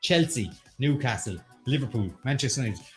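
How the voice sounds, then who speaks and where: A man's voice: medium pitch, animated, brisk, faint music behind. An Irish man co-hosting a pub quiz, reading questions and options aloud with quips. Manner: playful, reads questions aloud, quips and sings snatches. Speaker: quiz host. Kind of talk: livestreamed pub quiz banter.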